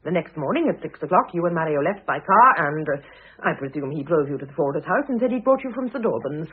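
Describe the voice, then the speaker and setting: A narrow, phone-like old radio recording holds a husky female voice with very lively intonation. It is a shrewd first wife facing down her rival. She is articulate, coolly insistent and commanding, in classic radio drama style. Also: low-pitched, quick pace. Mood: accusing, cool.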